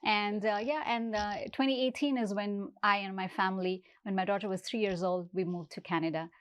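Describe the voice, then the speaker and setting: A woman's voice: mid-range voice, fairly even intonation, a little fast, clear, resonant tone. A woman, answering interview questions conversationally as a guest. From Nepal. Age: 30s. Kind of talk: conversational interview answers about personal life.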